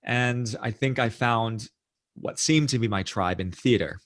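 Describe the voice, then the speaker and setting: A man from the United States, a guest author, recounting his life in a thoughtful, measured interview, often adding "you know" and "right?". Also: medium pitch. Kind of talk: reflective storytelling in interview.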